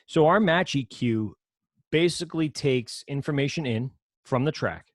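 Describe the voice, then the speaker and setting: Casting: man, low voice, casual rambling teacher walking through software, dry close sound. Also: moderate pace, dry recording. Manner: casual, rambling, self-correcting explanations. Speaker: audio production teacher. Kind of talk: screen-share software lesson.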